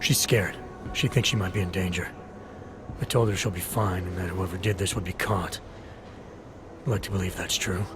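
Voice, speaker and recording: An actor playing a stern, principled Interpol agent and ex-cop in a video game, terse and firm. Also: male voice, low-pitched, steady tone, normal speed, long pauses, noisy background, natural voice. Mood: guarded. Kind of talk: video game cutscene dialogue.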